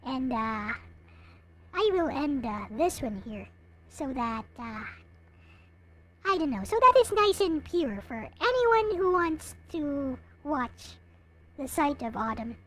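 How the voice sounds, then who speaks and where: A woman's voice: sits high, sing-song, takes it slowly, fairly bright tone. A woman livestreamer chatting casually to her viewers in a hesitant, rambling way. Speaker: live streamer, host.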